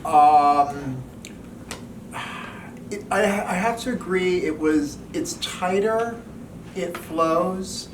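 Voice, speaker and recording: Higher-voiced man, hesitant, echoey and distant. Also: extremely slow, pauses often. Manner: hesitant, careful, tentative. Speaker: former cast member giving feedback. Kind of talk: informal audience feedback. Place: indoor gathering in a home. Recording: echoey, distant mic, noisy room.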